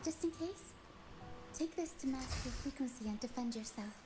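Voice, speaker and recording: A woman's voice: high-pitched, moderate pace, lightly acted. A woman playing a friendly, caring young female performer in a video game, earnest and storyteller-like with playful flourishes. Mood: resolute, caring. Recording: music behind the voice.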